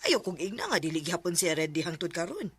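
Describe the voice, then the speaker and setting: A woman's voice: lowish voice, sing-song, fast talker, husky, dry recording. An actress playing a concerned, nagging middle-aged mother in a Filipino radio drama, with a Bisaya accent. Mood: prying, teasing.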